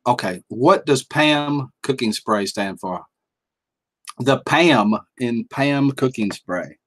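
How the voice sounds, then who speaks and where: A man's voice: mid-range voice, animated, slow pace. A middle-aged man with a Southern US accent, chatting casually and folksily while he hosts a livestream and reads out the chat. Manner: folksy and chuckling, drawls out words. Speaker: livestream host reading chat.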